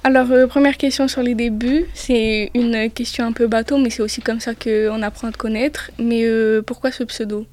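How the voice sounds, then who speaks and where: A woman's voice: a little high, fairly even intonation, moderate pace, few pauses, darkish tone. A woman asking casual interview questions as a radio co-host.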